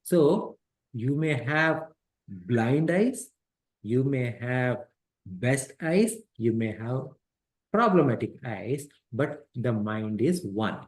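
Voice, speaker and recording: A man's voice: medium pitch, lively intonation, takes it slowly. A middle-aged man teaching an interactive class on a scripture text through a headset microphone. He explains step by step, repeats points and quizzes his students.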